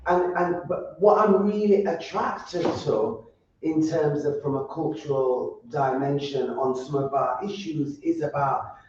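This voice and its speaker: Yorkshire woman panelist, unhurried, halting, recorded far off in a boomy hall. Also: darkish tone, a little hiss or hum. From Yorkshire, England. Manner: earnest, halting, repeats and restarts words. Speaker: roundtable panelist. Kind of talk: roundtable discussion. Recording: echoey, distant, dull sound.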